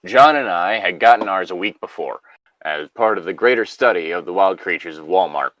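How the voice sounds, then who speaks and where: A man with a low voice reads a story aloud in a loose, casual way. His pitch moves around a lot, and he drops in joking, sweary asides. The recording is dry and a little dull. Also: lively intonation, a little fast, fairly bright tone, no echo. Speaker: host reading a story aloud with commentary. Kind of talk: casual reading and banter.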